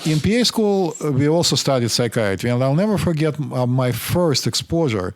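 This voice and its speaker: A man from Russia with a Russian accent, hosting as a physician and explaining things in a measured way. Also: medium pitch, animated, slight background noise. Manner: explanatory, measured, interjects often. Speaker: physician host. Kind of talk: conversational podcast discussion.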